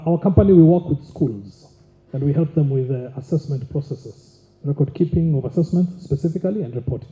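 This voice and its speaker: A man moderating a conference panel, posing questions thoughtfully with many fillers. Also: a little high. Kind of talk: panel discussion talk. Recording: echoey hall, phone-like sound.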